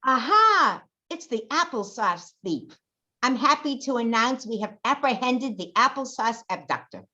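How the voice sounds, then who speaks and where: Woman doing nosy lunch lady, bright forceful voice, bit muffled lofi, radio comedy. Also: fairly high pitch, expressive, measured pace. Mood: triumphant. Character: nosy, accusing cafeteria woman. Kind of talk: old-time radio comedy acting.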